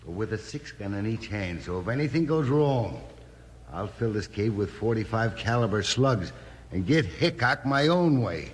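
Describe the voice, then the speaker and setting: A man's voice: lowish voice, expressive, moderate pace, natural voice. An actor playing a wary, sardonic outlaw henchman in a 1950s radio Western, tough and complaining. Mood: skeptical, threatening. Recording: reverberant cave effect, old noisy radio recording.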